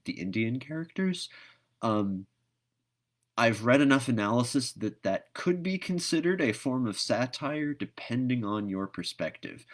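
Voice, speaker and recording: A middle-aged man hosting a read-aloud livestream, chatting casually with viewers in a halting, thoughtful, conversational way. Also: medium pitch.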